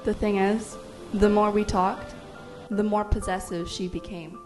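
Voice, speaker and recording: A woman's voice: mid-range voice, steady tone, a little slow. A woman narrating a scripted first-person story in a confiding tone. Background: music.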